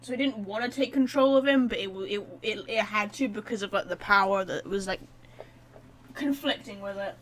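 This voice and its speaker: A woman's voice: mid-range voice, a little fast, fairly bright tone, poor audio. A woman live-streaming a game, reacting in casual chat with an informal, sweary, playfully blunt manner.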